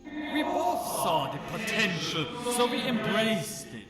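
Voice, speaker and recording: A man voicing a stoic, loyal ghost mercenary archer in a fantasy game, speaking in a measured, formal way. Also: sits high, sing-song, full character voice. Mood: proud, reflective. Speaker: game follower character. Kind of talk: scripted fantasy game dialogue. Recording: echoey voice under loud music.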